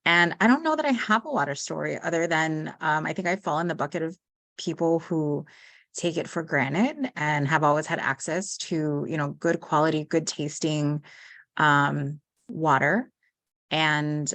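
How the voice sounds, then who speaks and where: Gravelly-voiced woman hosting a meeting. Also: deep voice, a bit bright. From Pearl City, Hawaii. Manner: friendly, hesitant, many ums. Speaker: club president and meeting host. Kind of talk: hosting a club meeting on a video call.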